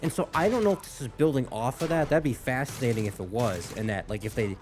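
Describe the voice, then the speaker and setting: A man's voice: mid-range voice, quick pace, a little mellow, faint music behind. Man, mid-range voice, casual commentary, musing and speculative manner, reaction host. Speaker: host reacting to game cutscenes.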